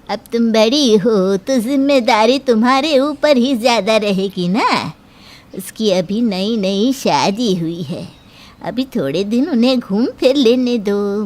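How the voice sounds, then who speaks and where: Woman doing older mother in law from a cartoon story, really slow, firm, lecturing everyone. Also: on the high side, darkish tone. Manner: admonishing, measured, lecturing. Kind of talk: Hindi cartoon moral story acting.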